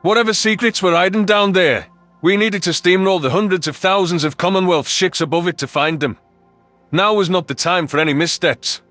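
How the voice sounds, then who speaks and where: A man's voice: sits high, brisk, a bit bright, no room sound, soft background music. A man playing a thoughtful alien soldier who narrates his own story in a scripted sci-fi audio drama. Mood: reflective, serious.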